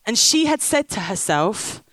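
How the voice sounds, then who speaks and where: A woman's voice: mid-range voice, very animated, a little slow, fairly bright tone, dry recording. A woman preaching on stage, telling a story and rousing the crowd. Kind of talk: sermon with storytelling. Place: stage in a big top tent.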